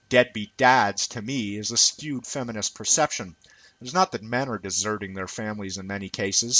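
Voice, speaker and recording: A man reading a written essay aloud in an emphatic, rhetorical manner with deliberate phrasing. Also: mid-range voice, fairly bright tone, slightly rough, dry recording, poor audio. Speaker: reader of an essay. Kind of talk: scripted essay reading, audiobook-like narration.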